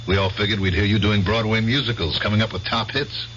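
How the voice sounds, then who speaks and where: A man acting as a guarded husband and veteran in a radio play. His voice is very low, his delivery restrained and terse. Loud music plays behind the muffled, lo-fi recording. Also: fairly even intonation, quick pace, close to an everyday voice. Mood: guarded, cordial. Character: guarded, somber middle-aged husband, war veteran. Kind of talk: old-time radio drama acting. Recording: dull lo-fi radio drama recording.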